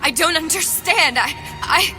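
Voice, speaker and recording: A determined but guilt-haunted young woman from a video game. She speaks slowly and haltingly, with intense, lively swings in pitch. Loud music sits behind her. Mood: bewildered, frightened. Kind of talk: dramatic video game acting. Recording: loud music over the dialogue.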